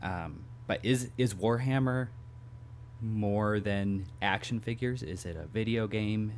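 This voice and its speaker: A hoarse man hosting a podcast from home, talking casually and reflectively and asking questions. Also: on the low side, slow pace, a little hiss or hum. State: hoarse, clearing his throat. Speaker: podcast host. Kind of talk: casual conversation.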